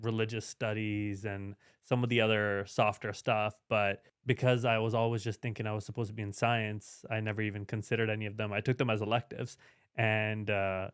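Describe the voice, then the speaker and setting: Male podcast host, smooth voice, dry close mic, chatty and casual. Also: lowish voice, no room sound. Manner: chatty, tags lines with "right?". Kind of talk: casual interview talk.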